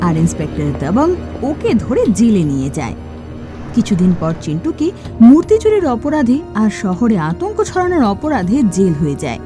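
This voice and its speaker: A woman's voice: fairly high pitch, mellow. A woman giving scripted narration for a cartoon story.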